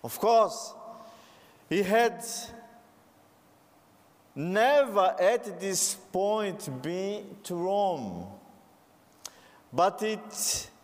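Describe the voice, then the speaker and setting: A Brazilian man with a Portuguese accent preaches a sermon in a high, lively voice that climbs into fervent calls. He talks very slowly and deliberately. His voice rings out with a long reverb. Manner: rising to fervent calls and responses.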